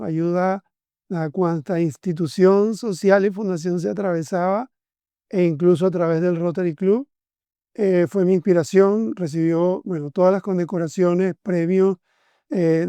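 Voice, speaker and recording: A man's voice: high voice, mellow, clean tone, no echo. A man, hosting a podcast interview and speaking with effusive enthusiasm. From Venezuela. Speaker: podcast host. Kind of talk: conversational interview.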